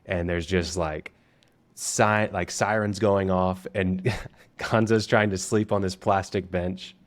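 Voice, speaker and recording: A man's voice: sits low, fairly even intonation, takes it slowly. A man co-hosting a podcast, telling rambling anecdotes casually with laughs and fillers. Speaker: podcast co-host.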